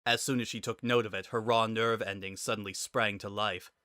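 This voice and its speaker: A man reads a dramatic story as its narrator. His voice is fairly low with a bright, forceful edge, and the recording is dry and close. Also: fairly even intonation, fairly quick, dry recording.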